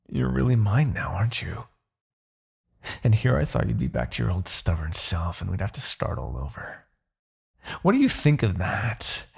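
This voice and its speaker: A man as a husky, gravelly, slow-talking demon king. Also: full character voice. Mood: commanding, wary. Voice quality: husky, gravelly, breathy low voice. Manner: slow, brooding, condescending, theatrical with pauses and sighs. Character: arrogant demon king, gloating yet begrudgingly attached captor. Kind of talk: fantasy ASMR roleplay monologue.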